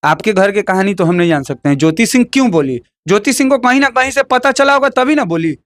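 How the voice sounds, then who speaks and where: A man's voice: high voice, animated, fast talker, a bit bright. A man with a Bhojpuri accent giving heated opinions in an interview, emphatic and combative, repeating phrases and firing rhetorical questions.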